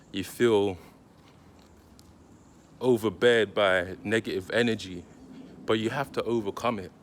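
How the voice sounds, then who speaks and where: Slow-talking man giving spiritual advice. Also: on the low side, fairly bright tone, slight background noise. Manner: slow, deliberate, reflective delivery with pauses. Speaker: spiritual content creator. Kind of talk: casual spiritual advice monologue.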